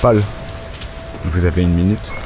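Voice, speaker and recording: A man playing a grave, cryptic adviser in an audio drama, speaking in measured phrases. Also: low-pitched, music in the background. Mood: grave, warning.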